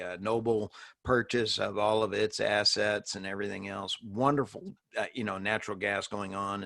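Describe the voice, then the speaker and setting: A man co-hosting an energy industry talk show with casual, jokey commentary delivered in halting bursts. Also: lowish voice, creaky, a bit bright, no echo. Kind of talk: casual commentary and joking banter.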